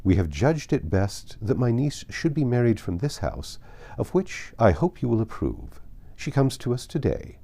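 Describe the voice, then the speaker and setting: A man reads as a businesslike middle-aged uncle setting out news in a formal letter. The tone is mellow and reassuring, with pitch that rises and falls freely. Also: very animated, moderate pace. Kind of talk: audiobook letter reading.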